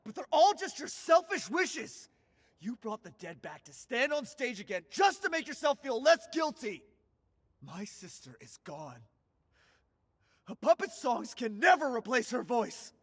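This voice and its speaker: An actor playing a grieving young man in a game cutscene, his voice swinging between hushed and raised. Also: extremely high pitch, very animated, measured pace, in a large hall, full character voice. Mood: angry. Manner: emotional, swinging from hushed to shouting.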